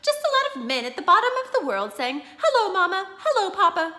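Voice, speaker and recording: A woman's voice: high voice, wildly swooping pitch, moderate pace, sharp tone, voice pushed up, heavily acted. A woman reading aloud, voicing a thrifty, worried housewife who scolds sharply. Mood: fretful. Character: fussy thrifty housewife, middle-aged.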